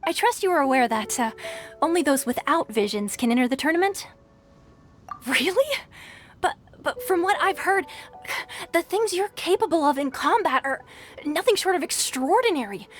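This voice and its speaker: A woman's voice: high-pitched, moderate pace. A woman voicing a polite, flustered tournament registrar in a video game who gets starstruck and stammers. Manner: polite, hesitant, easily excited.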